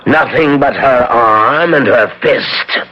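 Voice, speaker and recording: An actor playing a stern, reproachful occult master in a formal, portentous style of seventies radio drama. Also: male voice, medium pitch, takes it slowly. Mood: grave, dramatic. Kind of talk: 1970s radio drama acting. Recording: muffled vintage radio recording.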